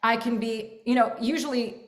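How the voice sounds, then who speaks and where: A young woman from San Francisco, a guest chatting casually in an interview, animated and full of fillers. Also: fairly high pitch, normal speed. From San Francisco, USA. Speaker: guest, actress and content creator.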